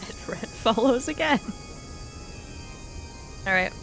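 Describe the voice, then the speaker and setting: High-voiced, slightly creaky woman gaming casually. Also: animated, takes it slowly, a bit bright, faint music behind. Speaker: player in a tabletop roleplaying game. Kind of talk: casual chat and roleplay.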